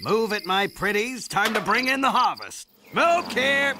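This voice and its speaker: An actor playing a crazed, smoke-obsessed villain beekeeper in an animated video game, drawling theatrically with gleeful menace. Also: male voice, high-pitched, a little slow, heavy background noise. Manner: theatrical, drawling, self-amused.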